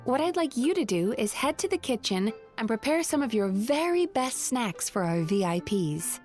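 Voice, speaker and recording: Poised, higher-pitched spirit manager. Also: female voice, big pitch swings, moderate pace. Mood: composed. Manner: composed, polite, gently teasing. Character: poised, gently teasing female dream-spirit bathhouse manager. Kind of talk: anime-style video game acting. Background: background music.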